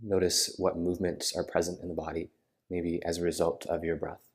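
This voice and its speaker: A man guiding a meditation in a soothing manner, with unhurried phrasing and gentle pauses. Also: sits low, little pitch movement, husky. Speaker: yoga nidra meditation guide. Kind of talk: guided meditation.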